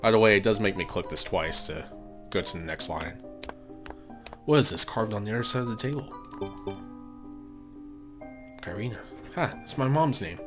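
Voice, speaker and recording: A man's voice: fairly low pitch, a little fast. A man hosting a game playthrough, mixing casual commentary with reading the story text aloud. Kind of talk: casual gaming commentary and read-aloud narration. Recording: music under the voice. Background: game music.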